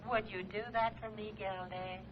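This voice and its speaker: An actor playing a crotchety, smug older judge with a thin, reedy, husky voice in 1940s radio comedy style. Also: male voice, sits high, flat delivery, normal speed, slight background noise, close to an everyday voice. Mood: businesslike. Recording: muffled old-time radio recording.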